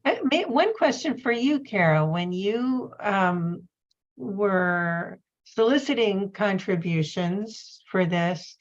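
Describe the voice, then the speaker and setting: A woman moderating a panel conversation, reflective and a little hesitant, on a dull recording. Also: mid-range voice. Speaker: event moderator and poet.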